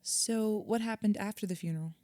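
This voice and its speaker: An actress playing a composed, sympathetic therapist in an audio drama, measured and gently questioning. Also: female voice, mid-range voice, dry recording, studio quality, lightly acted. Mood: attentive, gentle. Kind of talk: audio drama acting, therapy session dialogue.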